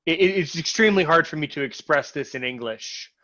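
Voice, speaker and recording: An American man with a slightly higher voice talks casually and with energy. He often repeats and restarts his words when he gets going. The sound is dull and lo-fi, like audio from an online video call. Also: lively intonation, a little fast, pushed and bright. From United States. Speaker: interviewee and show host. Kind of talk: casual conversational interview.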